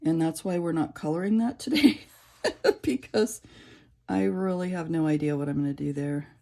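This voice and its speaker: A middle-aged woman with a low, raspy voice and a gravelly edge talks while coloring. Her tone is dark and mellow. She rambles casually, with plenty of fillers and restarts. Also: moderate pace. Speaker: coloring channel creator.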